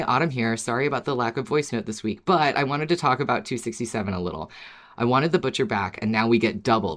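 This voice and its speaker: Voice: mid-range voice, fairly bright tone. A podcast co-host speaking in a conversational, agreeable way, as in casual chat or reading out listener mail.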